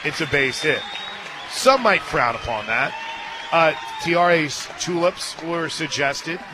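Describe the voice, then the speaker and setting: A man calling softball play-by-play on the radio, chatting casually between pitches and lifting with excitement on big plays. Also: takes it slowly, crowd noise, background noise. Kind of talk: live softball commentary with casual booth chat. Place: stadium radio booth.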